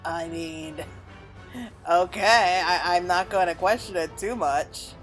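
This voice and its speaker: A woman's voice: on the low side, takes it slowly, rough recording. A woman giving casual gameplay commentary, rambling and thinking aloud as she plays. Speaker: gamer, let's play host. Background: game music.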